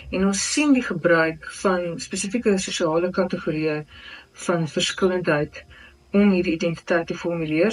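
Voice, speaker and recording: An academic author giving an analytical interview answer briskly, listing categories one after another. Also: medium pitch. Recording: voice from far off the mic.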